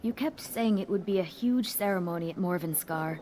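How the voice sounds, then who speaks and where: A woman's voice: lowish voice, moderate pace, dark tone, slight background noise, close to an everyday voice. A woman voicing a mild, sympathetic character in a fantasy game, in a plain manner.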